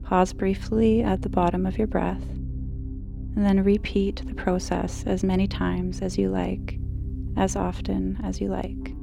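A woman hosting a sleep podcast, reading calm scripted narration in a slow, measured, soothing manner. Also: medium pitch, vocal fry. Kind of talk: calm scripted bedtime narration, guided relaxation. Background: music.

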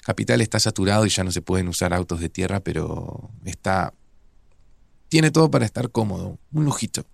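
An actor playing a grieving, resigned man in an audio drama, speaking Rioplatense Spanish in a subdued, hesitant, gravelly way. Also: deep voice, expressive, a little fast, no echo, clean studio sound, heavily acted. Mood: calm, matter-of-fact. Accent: Rioplatense Argentine. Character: grieving, resigned widower in a near-future Argentina. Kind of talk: naturalistic audio drama dialogue.

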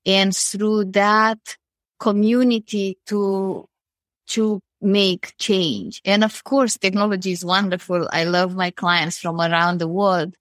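A woman's voice: medium pitch, fairly even intonation, takes it slowly, a bit bright, dry recording. A woman from Romania with a Romanian accent, speaking earnestly as a panel guest about her foundation. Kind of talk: panel discussion on a call.

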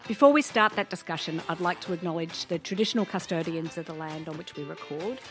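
A woman's voice: on the low side, fast, faint music behind. Bubbly Australian woman hosting. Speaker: podcast host. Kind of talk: podcast interview.

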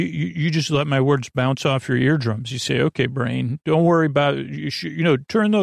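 Very creaky, meandering bedtime podcast man. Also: mid-range voice, no echo, studio quality. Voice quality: creaky, lulling tones. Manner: halting, digressive, self-interrupting, apologetic. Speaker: host of a bedtime sleep podcast. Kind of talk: rambling, meandering bedtime monologue.

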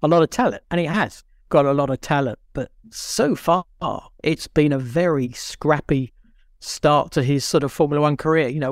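Man, slightly high voice, chatting. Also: no room sound, studio quality. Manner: emphatic, stumbling restarts. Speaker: podcast co-host. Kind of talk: casual chat.

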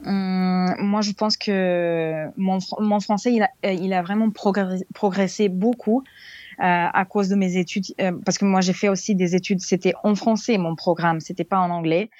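A woman's voice: mid-range voice, steady tone, moderate pace, darkish tone, no room sound, highs cut off. Hesitant young woman from Serbia. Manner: hesitant, searching for words in a second language. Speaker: interviewee, former exchange student. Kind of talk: interview answers.

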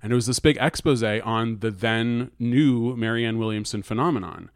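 A man with an American accent talking casually as a podcast co-host, halting and often restarting his sentences as he recounts things. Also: mid-range voice, clean tone, no room sound, studio quality. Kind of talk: casual conversational recounting of an article.

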